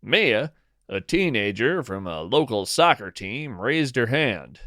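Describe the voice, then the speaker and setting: A man narrating a scripted first-person story as a podcast host, in a whimsical, deliberate and dryly playful manner. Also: mid-range voice, sing-song, fairly bright tone, no room sound, studio quality.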